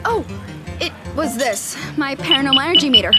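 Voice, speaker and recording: A high, girlish voice from a woman plays a nosy young ghost hunter, excitable and quick to argue. It is audio drama acting, with music clearly behind. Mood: curious, eager. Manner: inquisitive, argumentative.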